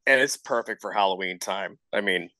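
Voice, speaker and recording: A man's voice: low-pitched, normal speed, sharp tone, a little hiss or hum. A man hosting a casual interview, informal and encouraging as he talks. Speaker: show host, interviewer.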